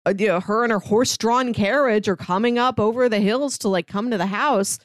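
A woman co-hosting a podcast, chatting casually and retelling a story in an expressive, conversational way. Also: a little high, a little fast, no room sound, clean studio sound. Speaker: podcast co-host.

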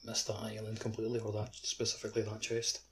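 A man's voice: lowish voice, completely flat delivery, fast. A man chatting casually as a co-host on a gaming podcast. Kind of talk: casual game discussion. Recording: clearly noisy audio with clicks.

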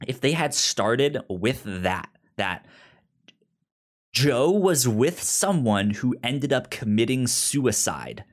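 A man co-hosting a podcast in casual chat about a TV drama, halting and often repeating or stammering on words. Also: mid-range voice, very animated. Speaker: podcast co-host.

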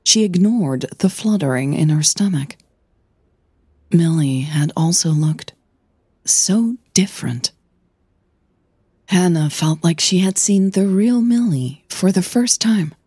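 A woman narrating scripted fiction in a measured, intimate storytelling manner. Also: lowish voice, animated, warm tone, slightly rough, no echo, clean studio sound. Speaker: audio drama narrator.

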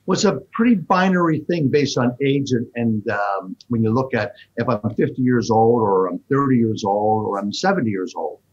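A man being interviewed, explaining investments in quick, animated bursts with frequent fillers. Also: mid-range voice, slightly rough, dull audio. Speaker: fund manager guest. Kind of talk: interview answers.

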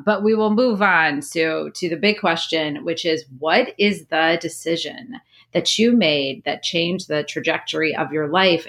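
A low-pitched woman hosts a podcast. She keeps the talk upbeat and casual and laughs easily. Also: fairly bright tone. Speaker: podcast host.

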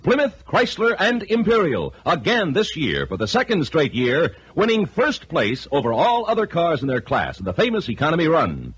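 A man's voice: on the high side, wildly swooping pitch, moderate pace, few pauses, a bit bright, slight background noise. A man announcing and reading an advertisement in the style of 1950s radio. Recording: vintage radio recording.

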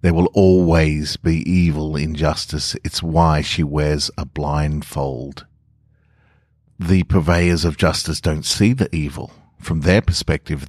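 Smug evil spirit guy, a man reading it, super deep voice, dragging slow and pleased with himself. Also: darkish tone, dry recording, clean studio sound, exaggerated voice. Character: gloating male spirit of evil, patient and smug. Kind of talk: scripted first-person story reading.

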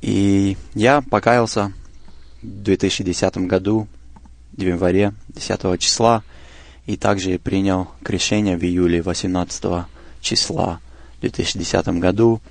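A man in his twenties introduces himself as a radio guest. His voice is low and gravelly with vocal fry, recorded dry in a studio. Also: steady tone, normal speed, no echo. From Moldova, later United States. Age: 20s. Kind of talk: personal introduction in interview.

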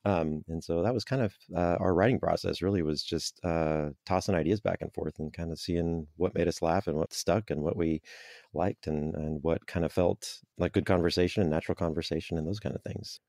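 A man's voice: deep voice, steady tone, fairly quick, gravelly, darkish tone, no echo, studio quality. A man guest on a podcast interview about making audio dramas, talking casually, rambling with many fillers and chuckles.

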